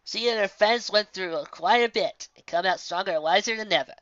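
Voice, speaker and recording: A woman's voice: medium pitch, fairly quick, fairly bright tone, dry recording, lo-fi sound, natural voice. A woman voicing a chatty old country woman from a storybook, folksy and rambling. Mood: warm, rambling. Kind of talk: storybook character voice.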